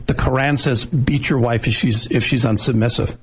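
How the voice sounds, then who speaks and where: Man, radio host, gravelly and husky, earnest, preachy, studio mic. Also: mid-range voice, steady tone, moderate pace. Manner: earnest, preachy, exhorting listeners.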